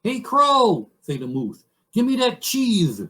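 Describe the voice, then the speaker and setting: A goofy moose puppet telling fairy tales. The voice sits high and drags each word out very slowly, with rustic, mangled grammar. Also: male voice, wildly swooping pitch, a bit bright, heavily acted. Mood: loud, exaggerated. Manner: drawn-out words, rustic broken grammar.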